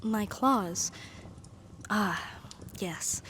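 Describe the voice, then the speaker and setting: A woman playing a powerful demon devoted to her master in an intimate ASMR roleplay, speaking formally in a soft, breathy voice that often drops to a whisper. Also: mid-range voice, a little hiss or hum. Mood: hesitant, concerned. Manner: formal, devoted, slow and hesitant phrasing.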